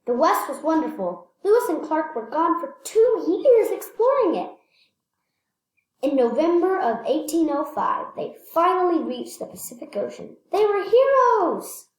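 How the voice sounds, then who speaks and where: A woman reading a children's picture book aloud, slightly halting, repeating phrases and adding casual asides. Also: very high-pitched, very animated. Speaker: read-aloud storyteller. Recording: echoey room.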